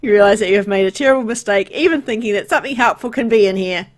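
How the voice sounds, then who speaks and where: A woman's voice: mid-range voice, very fast. A woman reading a video game's story aloud, mixed with casual, playful asides as she plays. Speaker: video game let's player.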